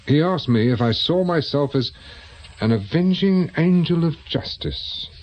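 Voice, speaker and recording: A wry British private eye, lively delivery. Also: male voice, on the high side, unhurried, dull audio. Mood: reflective. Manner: dry, sardonic. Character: wry, world-weary male private investigator, ex-policeman, laid up injured. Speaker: actor. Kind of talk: radio drama acting.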